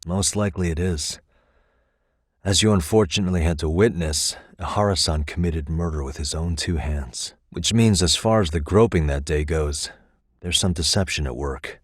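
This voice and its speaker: Earnest video game detective: low, husky voice, measured and matter-of-fact as he reasons things out. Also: no echo, clean studio sound. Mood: serious, probing. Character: earnest, analytical male detective reasoning through a case. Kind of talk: English video game voice acting, conversational deduction.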